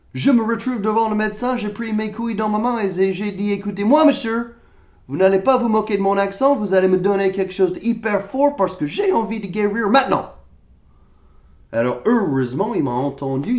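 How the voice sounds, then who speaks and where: A man's voice: high-pitched, darkish tone. A young American man with an American accent, speaking French as his second language, ranting sarcastically to camera while sick with a cough. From United States. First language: English. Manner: exasperated, sarcastic complaining. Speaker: vlogger. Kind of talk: comedic rant to camera. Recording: echoey room.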